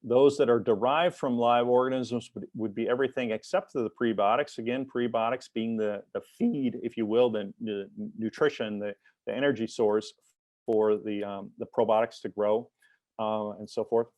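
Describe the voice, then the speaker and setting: Male expert presenter, middle pitch, halting and filler-heavy, dead dry recording. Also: fairly even intonation, darkish tone, no room sound. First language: English. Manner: halting, many fillers and restarts. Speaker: expert speaker in a webinar. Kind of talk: technical presentation.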